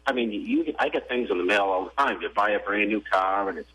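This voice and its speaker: A man calling in to a radio show, indignant and animated. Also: fairly low pitch, fairly quick, husky, poor audio. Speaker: caller. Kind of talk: call-in radio chat.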